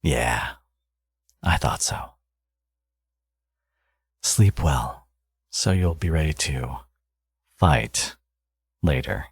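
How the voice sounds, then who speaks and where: A man playing a smug, teasing supervillain and doting new father in an intimate ASMR audio roleplay, murmuring playfully and cockily in a breathy, gravelly voice. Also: extremely low pitch, expressive, very slow, long pauses, no room sound, full character voice. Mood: teasing, reassuring. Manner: playful, cocky, murmuring to a lover.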